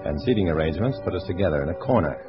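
An actor playing a tough, guarded undercover agent, terse and hardboiled, in an old-time radio detective drama. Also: male voice, sits low, lively intonation, rapid-fire. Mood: hardboiled narrating. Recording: muffled old radio recording with music.

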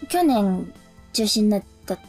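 A woman's voice: medium pitch, very animated, unhurried, music in the background. A woman hosting a casual radio chat, speaking politely and thinking aloud in short phrases. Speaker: radio show host.

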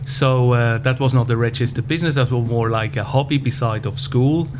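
Swiss German-accented man, steady humming background. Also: medium pitch. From Switzerland. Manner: measured, with frequent pauses and "uh" fillers. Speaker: podcast guest, business owner. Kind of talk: casual interview answers. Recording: background hum.

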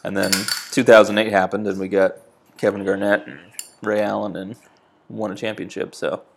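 A man in his thirties, from New England, talks in a low, gravelly voice. He rambles through a story with a relaxed, unhurried ease and plenty of filler words, like an ordinary guest on a podcast. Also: slight background noise. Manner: easygoing storytelling with frequent fillers. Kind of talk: casual chat.

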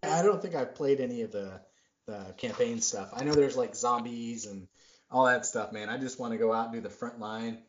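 A man's voice: mid-range voice, moderate pace, a little hiss or hum. A man in his thirties hosting a podcast, talking in a rambling, self-deprecating way full of fillers. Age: 30s. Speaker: podcast host. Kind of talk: casual chat. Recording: roomy, dull lo-fi sound.

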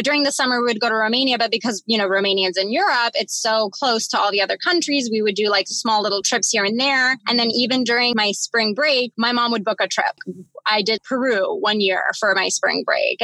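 A young woman in her twenties from Miami talks as a podcast guest, chatty and full of fillers. Her voice is bright and forward. The sound is dry and close, with no room around it. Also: mid-range voice, dry recording, low-quality recording. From Miami, USA. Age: 20s. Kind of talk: casual storytelling interview.

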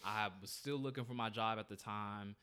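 Laid-back young Texan man, low voice. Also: fairly even intonation, sharp tone, smooth. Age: young adult. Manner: laid-back, says "bro" and "like" often, quick bursts. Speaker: podcast guest, author. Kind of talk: casual chat.

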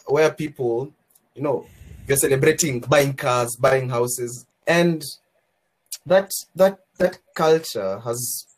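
A man makes an earnest case on a podcast, pressing his point. His voice is husky and a little rough, at a middle pitch. He talks in an opinionated, argumentative way. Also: slow, rough recording.

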